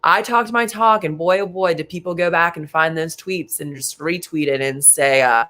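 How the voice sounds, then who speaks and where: A man in his late twenties co-hosting a casual sports talk show, animated and quick in bursts, with many fillers. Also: fairly high pitch. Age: late 20s.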